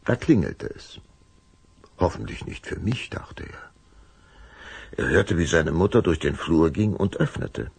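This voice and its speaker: A male storyteller with an extremely deep, husky voice reads a children's story. He goes slowly with wide dramatic swings in pitch, building suspense, recorded close and dry. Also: sing-song, darkish tone, no room sound. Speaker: narrator. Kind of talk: scripted children's story narration.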